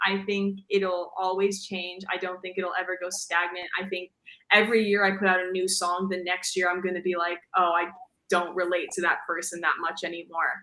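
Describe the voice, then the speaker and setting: A young woman guest talks casually about singing, her voice low for a woman yet bright and clear. She rambles a little and fills gaps with "like." The room around her is echoey. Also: flat delivery, brisk. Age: young adult. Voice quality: deep for a woman. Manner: chatty, fills with "like" and "um", laughs easily. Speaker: guest singer. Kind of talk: casual interview chat.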